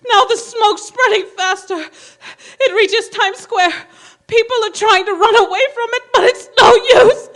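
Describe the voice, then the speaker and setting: Woman acting scared radio newswoman in a radio play, high voice, halting dramatic eyewitness report. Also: a bit bright, heavily acted. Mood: panicked, tearful. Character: grave, frightened woman radio reporter watching an alien invasion.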